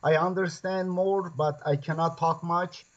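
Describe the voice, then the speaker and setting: A man's voice: a little high, steady tone, measured pace. Man, earnest lecturer. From Turkey. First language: Kurdish. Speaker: guest scholar. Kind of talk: interview over video call. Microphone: video call. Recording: lo-fi video call audio.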